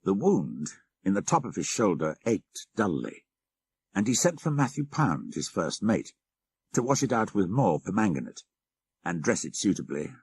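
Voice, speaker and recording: A man reading a classic horror story as an audiobook narrator, with measured, literary phrasing and dramatic delivery. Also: sits low, very animated, darkish tone, husky, no echo. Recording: 1990s audiobook cassette.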